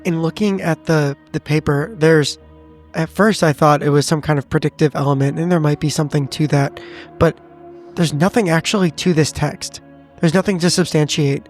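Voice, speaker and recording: A man improvising in character as a tabletop game player, speaking in a measured, analytical way. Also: fairly high pitch, steady tone. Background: background music.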